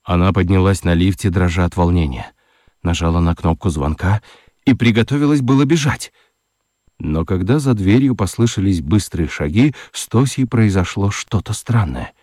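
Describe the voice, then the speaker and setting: Мужчина-рассказчик детского радиоспектакля читает выразительно, с драматическими паузами. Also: male voice, low-pitched, expressive, a little mellow, no echo, clean studio sound. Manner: deliberate, dramatic pauses. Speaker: narrator. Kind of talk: expressive scripted storytelling for children's radio play.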